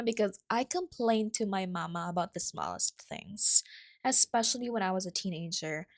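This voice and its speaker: Young Filipino-accented woman chatting on a podcast. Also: mid-range voice. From Philippines. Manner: chatty, self-correcting, with asides. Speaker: podcast host. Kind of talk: casual solo podcast storytelling.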